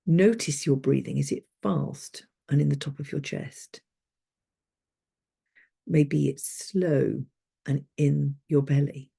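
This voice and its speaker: A woman with a soft, breathy voice leads a guided sleep meditation as a coach, soothing, unhurried and gently instructive. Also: sits low, steady tone.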